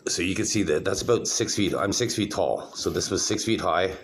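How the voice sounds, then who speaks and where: A man's voice: low-pitched, fairly quick, a little hiss or hum. A middle-aged man rambling to the camera in a casual vlog, meandering with many fillers and restarts. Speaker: vlogger showing his own videos.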